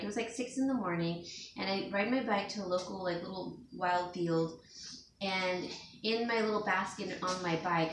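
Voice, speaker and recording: A woman who works as a home stager, talking casually to her viewers in a rambling, chatty way, with a sniffle from allergies. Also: mid-range voice. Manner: rambling, chatty, with fillers and small laughs. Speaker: home stager and DIY vlogger. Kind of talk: casual chat to camera. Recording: echoey room, distant from mic, faint hiss.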